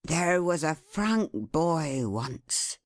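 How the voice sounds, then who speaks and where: A woman's voice: sits low, sing-song, extremely slow, dry recording, clean studio sound, heavily acted. A woman voicing an honest, plain-spoken carrier in a storybook reading, grave and reproachful. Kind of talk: dramatised classic storybook reading.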